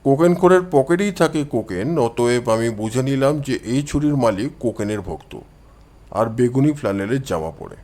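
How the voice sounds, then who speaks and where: A man voicing a calm, confident male detective in an audio story, explaining deductions in a measured, self-assured manner. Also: medium pitch, pitched below the performer's own voice, lightly acted.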